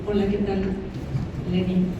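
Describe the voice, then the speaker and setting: A woman's voice: mid-range voice, completely flat delivery, normal speed. A woman reporter asking a question at a press conference, hesitant and full of fillers. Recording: distant, reverberant, dull and noisy.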